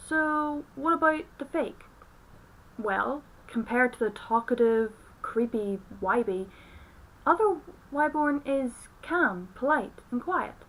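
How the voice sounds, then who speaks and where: A woman's voice: on the high side, a bit bright, poor audio. A woman reviewing a film in scripted commentary. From outside the United States.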